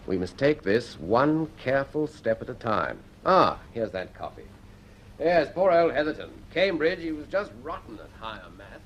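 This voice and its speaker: An actor playing an urbane, authoritative senior scientist in a radio drama, with a deliberate, reassuring way of talking. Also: male voice, mid-range voice, sing-song, a bit bright, highs cut off, slight background noise.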